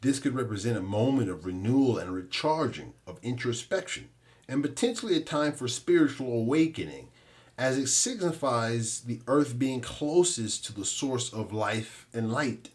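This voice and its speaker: A man hosting a podcast, delivering a scripted monologue in a measured, explanatory manner. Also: mid-range voice, animated, darkish tone. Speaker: podcast host and author.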